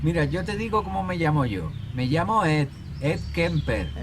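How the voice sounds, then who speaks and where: A coaxing, menacing young Spanish killer. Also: male voice, on the high side, sing-song, moderate pace, background noise. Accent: Castilian Spanish. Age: young adult. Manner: coaxing, falsely reassuring, condescending. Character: calm, manipulative young male killer coaxing a victim. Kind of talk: radio drama acting. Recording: music bed under the voice.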